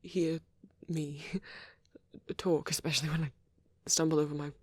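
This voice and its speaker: A performer voicing a shy, bashful, nerdy young male vampire boyfriend in a soft, hesitant, rambling ASMR roleplay. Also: medium pitch, a little slow, breathy edge, dry recording. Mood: bashful, flustered. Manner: hesitant, stammering, apologetic, excitable when rambling.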